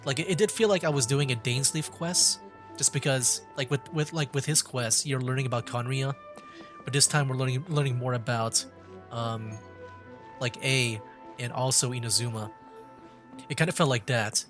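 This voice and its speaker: A man streaming a video game, chatting casually about it in a rambling, halting way and often repeating himself. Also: mid-range voice, steady tone, a bit bright. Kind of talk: casual chat and game commentary. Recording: faint game music behind. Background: video game music.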